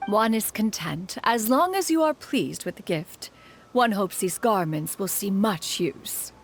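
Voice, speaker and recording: Haughty, formal immortal mentor, animated. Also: female voice, mid-range voice, a little slow, a little hiss or hum. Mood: gracious. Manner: formal, archaic, calls herself "one". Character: haughty, dignified female immortal bird adeptus, mentor, exacting. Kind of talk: anime game voice acting.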